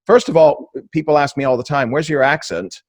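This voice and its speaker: A man's voice: fairly high pitch, brisk, dry recording. A man from England hosting a political podcast, asking long, rambling conversational questions. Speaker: podcast host.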